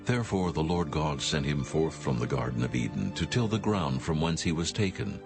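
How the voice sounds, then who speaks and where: A man narrating scripture in a formal, measured and solemn scripted style. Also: extremely low pitch, animated, vocal fry, over music.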